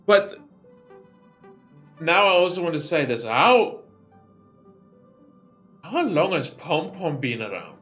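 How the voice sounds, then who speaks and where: Bright-voiced male game streamer. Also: a little high, big pitch swings, slow, long pauses, soft background music. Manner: musing aloud, questioning and wry. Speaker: gaming streamer. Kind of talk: casual game reaction commentary.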